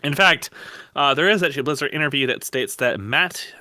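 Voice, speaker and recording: A man's voice: a little high, a little fast, bright, forceful tone, no echo, clean studio sound. A man discussing games on a podcast in a blunt, emphatic, opinionated way, often posing rhetorical questions. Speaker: podcast guest and game content developer. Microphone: voice call microphone.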